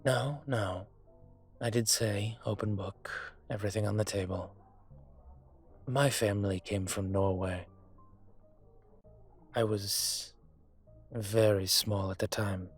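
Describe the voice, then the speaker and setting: Guy doing a brooding dragon mob boss, lowish husky voice, courteous but vengeful, audio roleplay with music behind. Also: fairly even intonation, exaggerated voice. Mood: reflective. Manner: measured, hesitant, pausing often. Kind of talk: audio roleplay drama, intimate dinner conversation.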